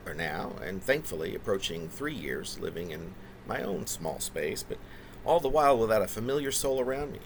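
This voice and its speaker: A man reading his own reflective, autobiographical poetry in halting, deliberate phrases that wind through long, wordy sentences. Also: deep voice, a bit bright, low-quality recording. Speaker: poet reading own work.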